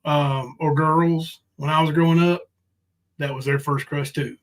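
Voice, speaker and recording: A middle-aged man with a somewhat high voice talks to his livestream audience. He is loose and informal, speaking off the cuff with rough, sweary language. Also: steady tone, unhurried. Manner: informal, foul-mouthed. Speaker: livestream host. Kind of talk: casual livestream chat.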